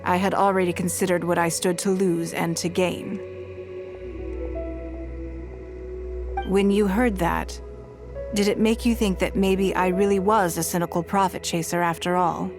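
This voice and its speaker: A woman playing a composed, wealthy noblewoman leader in a video game, speaking in a measured, formal way with private grief underneath. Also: lowish voice, fairly even intonation, music in the background, natural voice. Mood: composed, probing. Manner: measured, formal, eloquent, poised. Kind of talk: scripted video game dialogue.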